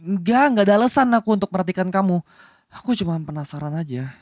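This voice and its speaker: A man playing a young demon prince in a romantic ASMR roleplay, soft-hearted but bossy, with flustered, possessive tsundere manners. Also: high-pitched, expressive, fast talker, no echo, studio quality. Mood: hesitant. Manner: stammering, flustered denials, possessive commands.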